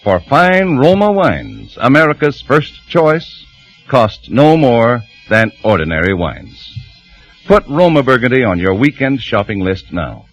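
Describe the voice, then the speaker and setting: Male vintage radio announcer, animated intonation, polished, dry sound, faint music behind. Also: medium pitch, unhurried, no echo. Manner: polished, emphatic. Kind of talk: 1940s radio sponsor announcing.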